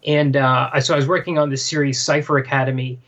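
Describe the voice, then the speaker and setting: A man chatting casually as a podcast co-host, telling an anecdote in a halting way, often repeating phrases and quoting himself. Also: medium pitch, flat delivery, fairly bright tone, lo-fi sound. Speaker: podcast co-host and manga translator.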